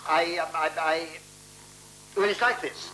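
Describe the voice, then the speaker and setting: An actor playing an earnest, anxiously precise man in a 1970s radio play, formal and deliberate. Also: on the high side, steady tone, bright, forceful tone, rough recording. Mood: nervous, apologetic. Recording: hissy, humming 1970s radio recording.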